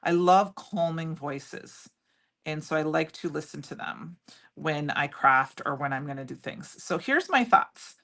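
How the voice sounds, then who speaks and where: A middle-aged woman with a loud voice, chatting and rambling as she demonstrates a craft project live and answers viewers by name. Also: sits low, lively intonation, unhurried. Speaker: crafting livestream host. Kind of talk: casual chat while demonstrating crafts.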